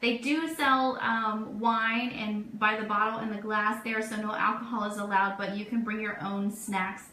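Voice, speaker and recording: A woman's voice: medium pitch, moderate pace, hardly any pauses. A woman radio host chatting enthusiastically and informally as she runs through upbeat event announcements. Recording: roomy echo, steady background noise.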